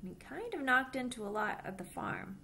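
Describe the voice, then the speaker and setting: A woman in her thirties reading a children's picture book aloud, with simple, deliberate phrasing for young listeners. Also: sits high, very animated, sharp tone, a little hiss or hum. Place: small plain-walled room indoors.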